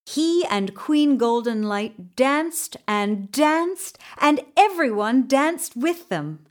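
Female children's storyteller: high-pitched, animated, expressive, lingering on dramatic pauses. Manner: expressive, deliberate, dramatic pauses for young listeners. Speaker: storyteller reading a children's book. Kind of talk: scripted children's story narration.

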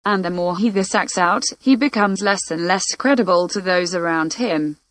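A woman narrating a scripted film review in an even, read-out delivery. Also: fairly low pitch, moderate pace, dry recording.

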